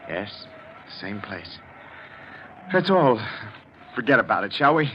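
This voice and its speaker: An actor in a 1940s radio drama playing a stubborn, dismissive man who masks his unease with insistent, halting talk. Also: lowish voice, big pitch swings. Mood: falsely casual. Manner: insistent, halting under strain. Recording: dull, hissy 1940s radio recording.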